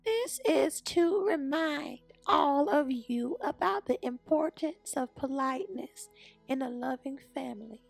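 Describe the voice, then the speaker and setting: Woman as a high-voiced polite old granny bear. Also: lively intonation, a little slow, gravelly, soft background music, heavily acted. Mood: gently instructive. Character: polite old grandmother bear, gently instructive. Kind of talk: children's storybook read-aloud.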